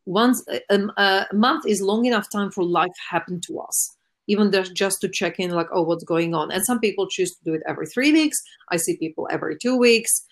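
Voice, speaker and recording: Earnest woman massage therapist, lowish voice. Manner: earnest, many fillers and restarts. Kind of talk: conversational interview answers.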